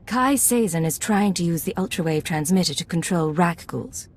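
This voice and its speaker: A woman playing a composed undercover Imperial agent in a video game, measured and businesslike. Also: fairly low pitch, expressive, darkish tone. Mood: cool, businesslike.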